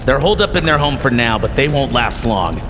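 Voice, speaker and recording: An actor playing a dutiful, ruthless soldier in a video game drama, giving crisp and assured military reports. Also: male voice, mid-range voice, big pitch swings, a little fast, background noise, lightly acted. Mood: cold, assured. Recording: reverberant, music behind.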